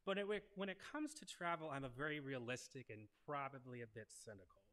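A man's voice: medium pitch, animated, brisk, fairly bright tone. A young man giving an earnest, conversational sermon at a lectern, speaking into a headset mic. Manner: earnest, conversational preaching with rhetorical questions. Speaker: preacher. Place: wood-paneled church sanctuary at a lectern. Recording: long church reverb.

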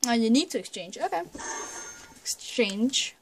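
Chatty woman gamer, higher voice. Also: animated, unhurried, slight background noise. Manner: chatty, hesitant, lots of filler, reads menus aloud. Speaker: gamer doing a blind playthrough. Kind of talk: casual let's play commentary. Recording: commentary over loud game music.

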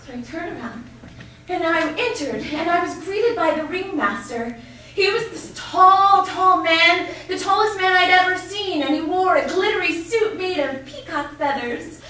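A young woman tells an animated comic tale in a high, bright voice. She speaks into a handheld mic, caught from the back of a large, echoing hotel ballroom. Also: unhurried. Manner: animated, playful, laughing. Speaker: contest speaker. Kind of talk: comic storytelling speech. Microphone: handheld mic, far camera. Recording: echoey hall from far off.

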